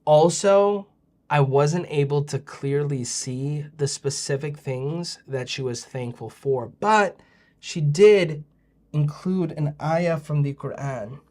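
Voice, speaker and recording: A man hosting a children's show, joking and reacting with exaggerated excitement. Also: a little high, takes it slowly. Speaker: host of a kids' show. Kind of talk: playful, animated hosting.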